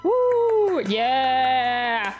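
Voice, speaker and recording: A woman's voice: high voice, sing-song, very slow, soft background music. A woman streaming a game, reacting in casual, chatty, exclamatory commentary.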